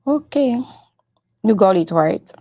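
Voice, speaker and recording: A French-speaking woman hosting a live-streamed interview, talking in a halting, unhurried way and moving between English and French. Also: mid-range voice, expressive, warm tone. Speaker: host of a live show.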